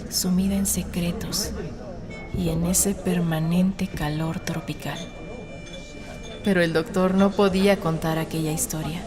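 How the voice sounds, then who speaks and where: A woman with a slightly low voice narrates a novel in a measured, suspenseful way. Music plays clearly behind her studio narration. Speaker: audiobook narrator. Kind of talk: scripted dramatic narration of a novel. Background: background music.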